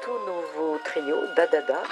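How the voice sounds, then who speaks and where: A woman's voice: low-pitched, lively intonation, a little slow. A woman reading a scripted promotional narration as an announcer. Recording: loud music over the voice.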